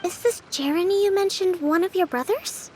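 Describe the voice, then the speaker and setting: A woman voicing a polite, inquisitive young female companion in a video game, measured and analytical. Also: very high-pitched, mellow, a little hiss or hum. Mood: curious.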